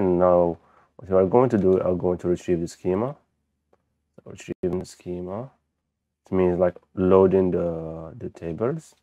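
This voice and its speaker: A man who speaks as a gives an unscripted software walkthrough, haltingly thinking aloud as he demonstrates. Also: low voice, dry recording. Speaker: software tutorial presenter. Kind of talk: unscripted screen-recorded tutorial walkthrough. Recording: dull, lo-fi close microphone.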